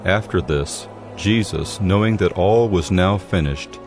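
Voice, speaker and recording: A man's voice: deep voice, a little slow, over music. A man narrating scripture from a script as a solemn dramatized audio Bible storyteller.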